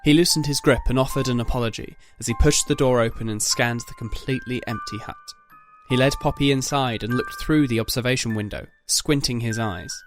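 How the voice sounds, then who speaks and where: Man, narrator, scripted dramatic storytelling, medium pitch, faint music behind. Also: brisk.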